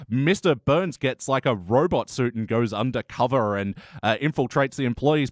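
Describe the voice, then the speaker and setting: A man's voice: mid-range voice, dry recording, clean studio sound. An Australian man hosting a casual comedy review chat, informal, joking and given to swearing. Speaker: podcast host.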